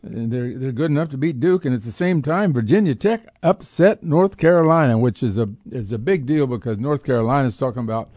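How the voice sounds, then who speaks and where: A man's voice: mid-range voice, lively intonation, fairly quick, few pauses, darkish tone, no echo. A man with an American accent co-hosting sports talk, giving off-the-cuff, opinionated casual commentary. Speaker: sports talk show co-host.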